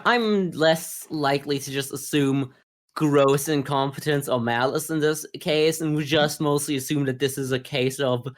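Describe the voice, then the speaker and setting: A man co-hosting a podcast, giving a casual review in a measured, analytical, conversational way. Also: fairly high pitch. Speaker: podcast co-host. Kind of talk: casual anime review discussion.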